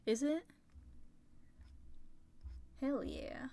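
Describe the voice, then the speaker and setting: A young woman chatting casually and rambling on a livestream while drawing, swearing freely, her voice a little rough and raspy from talking for a long time. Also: high voice, very slow, mellow. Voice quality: slightly raspy. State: tired, sore throat from long talking. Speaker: art streamer.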